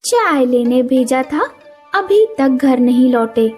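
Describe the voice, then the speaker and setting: A woman voicing a suspicious, scolding wife bird in an animated children's story, bossy and nagging, with music behind. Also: high voice, slow.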